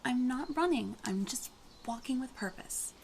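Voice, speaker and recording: A stubborn, uneasy young village girl in a radio mystery play, voiced by a woman. Her pitch sits high. She speaks slowly and insistently, wary of what is around her. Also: animated, creaky, slight background noise, full character voice. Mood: defensive. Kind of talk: audio drama acting.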